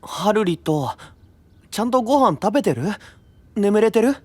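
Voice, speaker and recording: A man playing a caring, earnest young knight and protective friend, gently reassuring, in a fantasy audio drama. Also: sits high, big pitch swings, moderate pace, dry recording. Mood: concerned.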